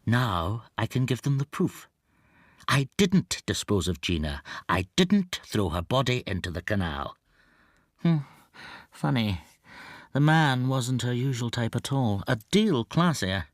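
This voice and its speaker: An actor playing a mild, lonely, wronged bookseller in despair, halting and introspective in a radio drama. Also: male voice, medium pitch, sing-song, no echo, studio quality. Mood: wry, hopeful. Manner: introspective, halting, talking to himself. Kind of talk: radio drama acting with interior monologue.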